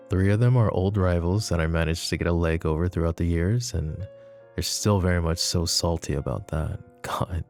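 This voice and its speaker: A man playing a flirty, possessive mafia boss in an intimate roleplay, speaking sardonically and softly with slow, deliberate pauses. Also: low-pitched, gravelly, exaggerated voice. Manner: sardonic, soft-spoken, slow pauses, swearing and sighs. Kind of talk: intimate ASMR roleplay spoken to the listener.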